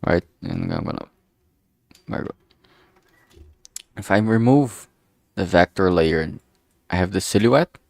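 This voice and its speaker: The man you'd hear on a late art livestream, close and dry on the mic, murmuring his steps in a lowish voice as he draws. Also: animated, creaky, darkish tone, no echo. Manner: halting, self-talking, mutters to himself. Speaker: streamer making visual novel art. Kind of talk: casual thinking aloud while drawing on stream.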